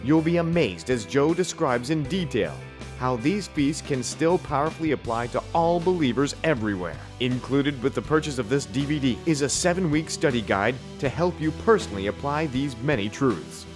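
An upbeat man voicing a scripted product promo in a polished announcer delivery. His pitch sits in a middle range. Soft guitar music plays faintly behind him. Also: expressive, moderate pace.

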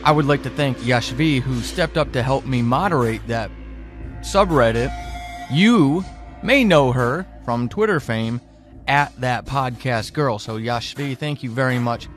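A man hosting an audio drama podcast, reading scripted credits and announcements with deliberate phrasing. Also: medium pitch. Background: loud music.

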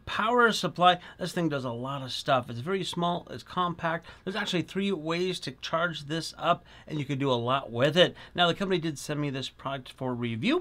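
Casting: middle-aged male review host, slightly high voice, chatty, casual explainer delivery. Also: fairly bright tone. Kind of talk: casual product demonstration to camera.

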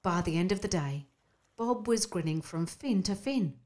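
A woman narrating a scripted children's bedtime story in an expressive storybook manner. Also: on the low side, moderate pace.

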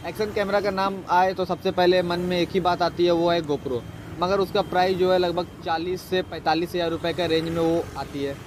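A man's voice: high voice, steady tone, a little fast, noisy background. A man hosting a casual moto vlog, chatting step by step through a setup with an earphone mic tucked in his helmet. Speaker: moto vlogger. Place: riding a motorcycle, then at a shop table. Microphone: earphone mic tucked inside a helmet.

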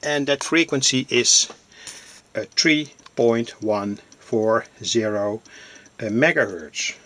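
A man giving an informal hobbyist demonstration, narrating in halting, deliberate phrases. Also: medium pitch, bright, forceful tone. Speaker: electronics hobbyist presenter.

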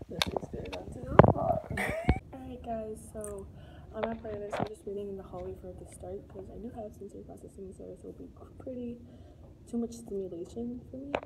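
A chatty young woman vlogs into a phone held at arm's length, her voice a little raspy from just waking up. Her pitch sits in the middle, and background noise hisses under the phone recording. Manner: chatty, excitable, nervous. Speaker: vlogger. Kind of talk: casual vlog to camera.

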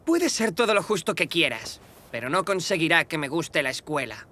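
Lively young goatherd boy. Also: sits low, moderate pace, a little hiss or hum. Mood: grumbling. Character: young goatherd boy, grumbling, reluctant pupil. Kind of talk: animated children's series dubbing.

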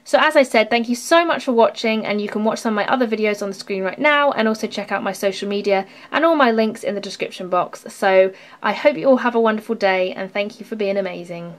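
A woman's voice: medium pitch, fast talker, hardly any pauses. A young woman hosting a craft vlog, rambling and chatty with lots of ums and likes, her voice a little worn and sore. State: losing her voice, sore throat. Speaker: craft channel host. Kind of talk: casual rambling show-and-tell. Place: indoors at a craft table.

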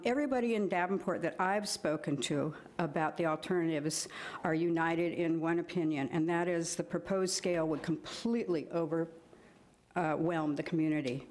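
Older woman, low-ish voice, earnest read-out comment at a meeting podium mic. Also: darkish tone. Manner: reads steadily from notes, earnest. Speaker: public commenter. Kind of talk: prepared public comment. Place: county board meeting chamber, indoors. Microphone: podium microphone.

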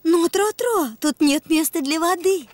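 A woman's voice: extremely high pitch, measured pace. A woman voicing a kindly donkey mother in a preschool cartoon, giving patient, motherly instructions. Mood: gently surprised.

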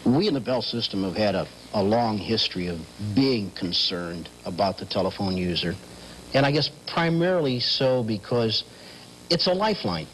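A man's voice: fairly low pitch, animated, normal speed, fairly bright tone. A middle-aged American man explaining as an interview guest in a television studio, on slightly noisy vintage TV sound. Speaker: telephone company specialist, guest. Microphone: lapel mic.

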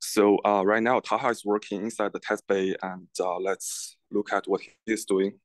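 A young man giving an informal guided lab tour as a student guide, speaking haltingly with repeated words. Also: on the low side, level tone, no echo. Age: young adult. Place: large open indoor lab.

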